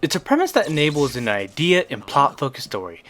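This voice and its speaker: A man's voice: a little high, wildly swooping pitch, a little fast, fairly bright tone. Man narrating a scripted review. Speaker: video game reviewer.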